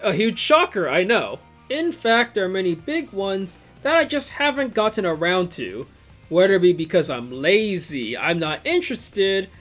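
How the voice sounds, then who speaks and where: A video creator giving scripted commentary in an informal, wry way. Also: mid-range voice. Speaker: video creator reviewing visual novels.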